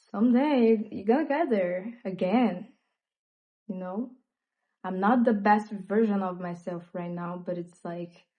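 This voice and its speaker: A young woman with a Brazilian accent, native in Portuguese, talking openly and reflectively in a personal vlog, with frequent fillers and pauses. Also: mid-range voice, dull audio. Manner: reflective, hesitant with many fillers. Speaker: vlogger. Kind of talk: candid personal monologue.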